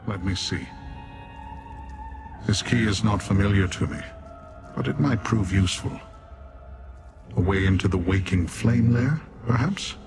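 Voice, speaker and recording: A haughty demon warrior from a fantasy game, speaks in a deep voice. He is formal, measured and disdainful, with music playing underneath. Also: animated, vocal fry. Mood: measured, analytical. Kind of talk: fantasy video game character acting.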